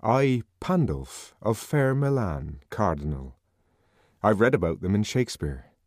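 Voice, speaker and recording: A man's voice: on the low side, big pitch swings, unhurried, darkish tone, no room sound, clean studio sound. A man voicing an earnest, naive young male outsider in an audiobook, indignant and given to literary phrasing. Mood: prompt, earnest.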